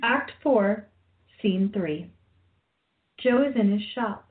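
The audio is thin and phone-like. A woman with a medium-pitched voice reads scripted narration and stage directions for a radio play. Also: slow pace.

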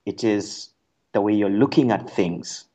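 A man's voice: fairly low pitch, measured pace, dark tone. A man from Kenya, an author, explaining his ideas as an interview guest in a thoughtful way with fillers and restarts. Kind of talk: radio interview conversation.

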